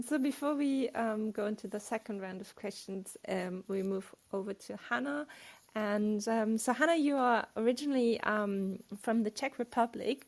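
A woman hosting an online artists' panel over a video call, full of effusive praise for her guests. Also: mid-range voice. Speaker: chat moderator.

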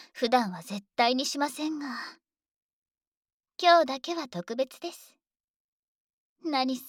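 A possessive dragon maid with wings and horns, voiced by a woman in an ASMR audio drama. Her voice sits high and light, and she takes her time, drawing the words out. She speaks with formal servant's politeness in a breathy, close whisper. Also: no echo. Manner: formal servant's speech, often soft and whispery. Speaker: voice actress.

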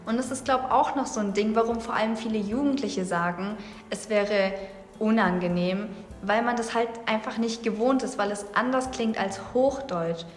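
A woman's voice: fairly high pitch, normal speed, faint music behind. A young woman from Saxony with a slight Saxon accent, chatting casually in a podcast discussion and thinking aloud. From Saxony, Germany. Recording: echoey room with long reverb.

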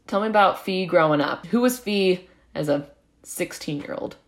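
A woman hosts a podcast interview, asking questions in a friendly, chatty way. She uses casual slang. Her voice sits very low for a woman. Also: moderate pace. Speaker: podcast host.

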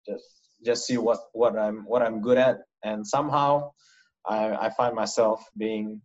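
A man's voice: lowish voice, darkish tone, muffled recording. A man from Indonesia, a startup founder, answering interview questions casually with frequent restarts and fillers.

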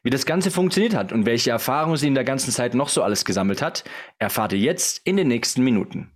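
A man with a mid-pitched voice hosts a podcast in an upbeat, friendly way. He reads a scripted intro and then asks his guest casual questions. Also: expressive, quick pace. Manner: upbeat, friendly, laughs along. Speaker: podcast host.

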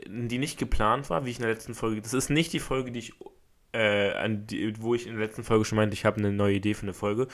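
A slightly hoarse young man from Germany, hosting a casual solo podcast and telling a story in an excitable, rambling way with many fillers and restarts. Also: fairly low pitch. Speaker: podcast host.

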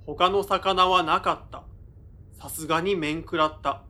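A man acting as a young male narrator in an audio drama, his voice very bright and forward, pitched a little high. He tells his own story in measured, understated literary prose, with a deferential streak toward his elders. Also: steady tone. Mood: matter-of-fact, observant.

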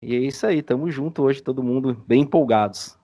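Brazilian guy as podcast guest, average pitch, just chatting casually. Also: fairly quick, warm tone. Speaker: podcast guest, audio drama creator. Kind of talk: casual interview chat.

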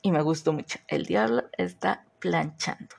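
A Mexican woman with a mixed Mexico City, Morelos and northern accent hosts a podcast at an unhurried pace. Her voice is deep-set, gravelly and husky. She chats easily and keeps asking the listener questions. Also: a little mellow. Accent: Mexican Spanish, mixed Mexico City, Morelos and northern. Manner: chatty, asks listeners questions. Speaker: podcast host. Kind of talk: casual podcast monologue.

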